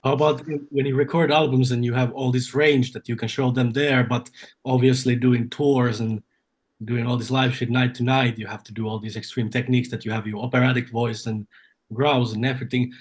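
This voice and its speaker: A man's voice: mid-range voice, level tone, brisk. A man interviewing someone, asking questions in a casual conversational style.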